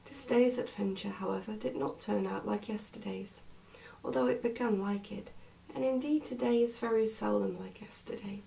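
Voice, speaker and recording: A soft-spoken woman, often close to a whisper, reading a book aloud in an ASMR style. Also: medium pitch, steady tone, normal speed, gravelly, distant mic, a little hiss or hum, low-quality recording. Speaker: ASMR reader and host.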